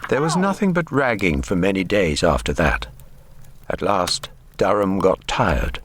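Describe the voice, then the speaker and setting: A man's voice: sits low, very animated, a little slow, a loud crowd. A man reading literary narration for a radio drama.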